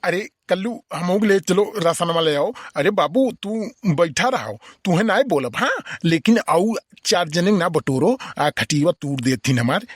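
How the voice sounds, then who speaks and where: A man's voice: high-pitched, lively intonation, unhurried, dry recording, clean studio sound. A man voicing a grumpy, irritable old village uncle in an Awadhi comedy cartoon, scolding and complaining in an Awadhi accent. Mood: grudging, coaxing. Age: older.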